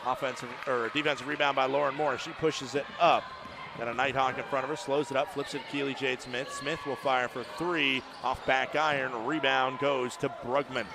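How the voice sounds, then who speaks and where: A man giving live play-by-play of a college women's basketball game, chatty and opinionated, getting louder at close plays. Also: medium pitch, fairly even intonation, normal speed. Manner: chatty, opinionated, rises to shouting at big plays. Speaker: play-by-play announcer. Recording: noisy arena broadcast feed. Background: gym ambience and ball bounces.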